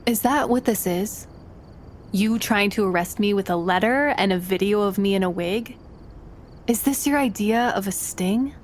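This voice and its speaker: A woman playing a poised, menacing con woman in an audio drama, speaking in a formal, measured, taunting manner. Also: mid-range voice, a little hiss or hum, close to an everyday voice. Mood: defiant.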